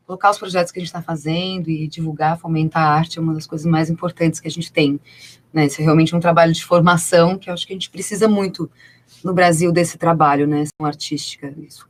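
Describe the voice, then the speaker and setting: A woman from southern Brazil speaks with a Brazilian accent as a guest in a radio studio. She is talkative and conversational, often checking in with little tag questions as she explains. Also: lowish voice. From Rio Grande do Sul, Brazil. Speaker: guest, actress and producer. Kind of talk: conversational interview answers.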